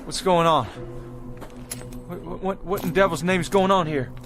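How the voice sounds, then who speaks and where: An actor playing a determined but bewildered pioneer father in a radio drama, with halting speech that repeats words. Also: high voice, steady tone. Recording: music under the voice.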